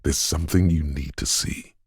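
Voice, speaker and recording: An actor voicing a detective's inner sense of sight in a video game, calm and observant, narrating in measured, literary lines with a dry wit. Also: male voice, dry recording, heavily acted. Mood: wistful, evocative. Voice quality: very deep, gravelly, husky. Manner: measured, deliberate, dryly wry.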